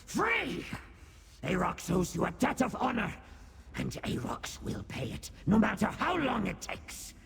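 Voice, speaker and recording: Small boastful demon creature, very gravelly, wildly swooping pitch, grandiose, loyal, fantasy game. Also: male voice, huge pitch swings, measured pace, a bit bright, heavily acted. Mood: jubilant, grateful. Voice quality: gravelly, creaky. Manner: grandiose, refers to himself in third person. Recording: long reverb, clear background noise.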